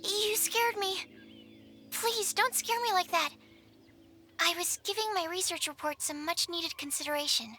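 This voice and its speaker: A woman's voice: extremely high pitch, a little slow, over music. An actress playing a shy, earnest young female researcher in a video game, timid, polite and bookish in manner. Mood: flustered. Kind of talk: scripted video game voice acting.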